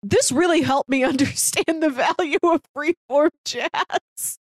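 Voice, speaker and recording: A woman's voice: high voice, a little slow, dry recording, studio quality. A woman co-hosting a podcast, casually discussing a movie in a chatty way and laughing easily as she talks. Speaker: podcast co-host.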